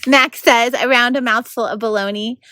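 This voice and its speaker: A woman reading a children's novel aloud as an expressive, animated storyteller. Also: on the high side, moderate pace. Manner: animated, acts out the narration. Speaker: volunteer reader of a children's novel.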